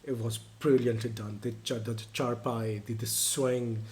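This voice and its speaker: A man's voice: medium pitch, steady tone, measured pace, a little mellow. A man whose first language is Urdu, hosting a music reaction video and reviewing a song in casual chat, with frequent fillers and restarts as he thinks aloud.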